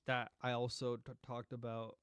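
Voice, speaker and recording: A man's voice: medium pitch, completely flat delivery, normal speed, fairly bright tone, clean tone, no echo. A man streaming a review of esports matches in casual, blunt, profane live commentary, rambling with restarts while losing his voice.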